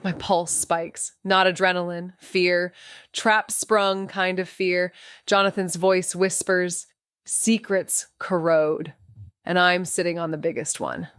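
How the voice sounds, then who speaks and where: An actress playing a defiant young amateur sleuth in a noir audio drama, blunt and slangy, with anxious sardonic edge. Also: female voice, lowish voice, flat delivery, slow pace, a bit bright, smooth, no echo, clean studio sound. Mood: tense, rattled. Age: young adult. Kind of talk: noir audio drama dialogue and inner narration.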